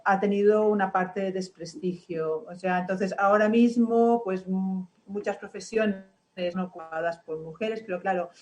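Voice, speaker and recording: A woman panelist in an online discussion, earnest and halting, with many fillers. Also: mid-range voice, a little mellow.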